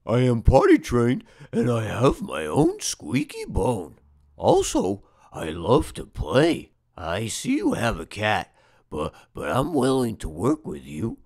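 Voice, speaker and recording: Man playing an eager, pleading, wildly expressive stray puppy. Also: medium pitch, slow, exaggerated voice. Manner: hesitant, stammering, expressive. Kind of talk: storybook character read-aloud.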